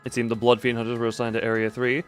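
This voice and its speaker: A man's voice: mid-range voice, flat delivery, rapid-fire. Male streamer, mid-range voice, loose read-aloud with self-corrections, game music underneath. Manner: stumbles and self-corrects while reading aloud. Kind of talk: live stream reading game dialogue aloud with commentary.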